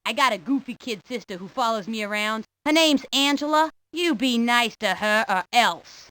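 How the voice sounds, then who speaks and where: A woman's voice: on the high side, expressive, moderate pace, fairly bright tone, no echo. A woman voicing a cocky young boy ballplayer with slangy, swaggering wise-guy talk in a cartoon game. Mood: gruff, annoyed. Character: cocky streetwise young boy ballplayer, tough big-brother attitude.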